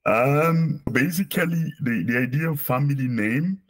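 A man's voice: a little high, a little slow. A man in his forties from Cameroon, a guest on a video call interview, speaking with many hesitations and restarts. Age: 40s. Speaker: guest, operational research professor. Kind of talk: casual interview chat. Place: small office indoors. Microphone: video call webcam.

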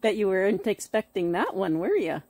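A woman's voice: medium pitch, big pitch swings, normal speed, darkish tone. A middle-aged Canadian woman whose first language is Quebec French, chatting casually to the camera as a vlogger outdoors. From Quebec, Canada, raised partly in Ontario. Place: outdoors in a field, yard and open shelter. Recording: outdoor ambience, clear background noise.

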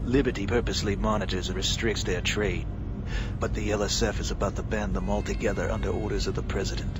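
An actor playing a male space security officer who gives brisk, matter-of-fact orders in a video game. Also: flat delivery, natural voice. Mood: calm. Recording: game music and engine rumble behind.

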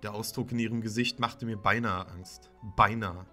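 A man's voice: fairly low pitch, steady tone, normal speed, bright, forceful tone. A man playing a sullen, troubled teenage son, bitterly narrating his own horror story in a dramatic way. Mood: defiant, tense. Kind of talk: scripted first-person horror narration. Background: music.

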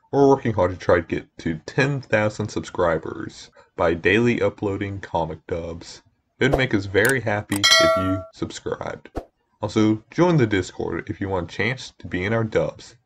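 A man with a low voice speaks casually as a channel host. There is faint noise and clicking behind him.

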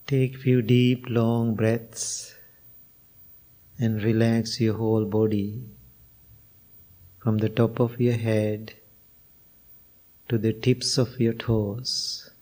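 Slow, mellow man guiding meditation. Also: lowish voice, level tone. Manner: slow, unhurried phrases with long pauses. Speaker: Buddhist monk and meditation teacher. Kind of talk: guided meditation and spiritual talk.

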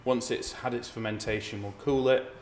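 A man's voice: mid-range voice, steady tone, normal speed, a little hiss or hum. A man, around forty, a brewer explaining things in a documentary interview in a brick-walled room. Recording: roomy echo, music underneath.

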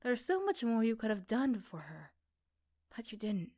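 A woman's voice: fairly high pitch, big pitch swings, moderate pace, darkish tone. A woman reading scripted second-person narration for an ASMR roleplay story.

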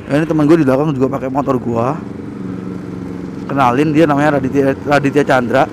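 A young man chatting excitedly in a casual riding vlog, recorded on a helmet camera while on a motorbike in the city. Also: mid-range voice, fast. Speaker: motovlogger. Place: on a motorbike on city streets, outdoors. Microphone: helmet-mounted action camera. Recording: loud wind and traffic noise.

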